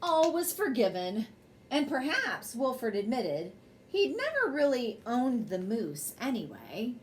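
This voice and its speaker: Woman, storyteller, higher-pitched voice, very animated intonation, expressive children's reading. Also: takes it slowly, a little hiss or hum. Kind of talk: bedtime story read aloud.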